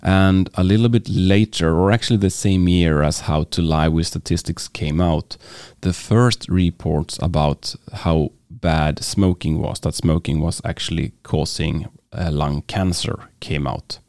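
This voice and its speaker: A man's voice: low-pitched, steady tone, measured pace, a little mellow, clean tone, dry recording, clean studio sound. A man with a Swedish accent, hosting a podcast and talking casually about books, hesitant with many fillers and restarts. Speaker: podcast host. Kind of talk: casual book talk.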